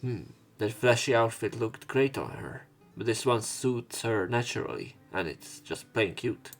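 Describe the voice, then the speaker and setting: A man's voice: mid-range voice, expressive, measured pace, soft background music. A man reading a visual novel aloud as a let's play host, adding informal, sweary commentary.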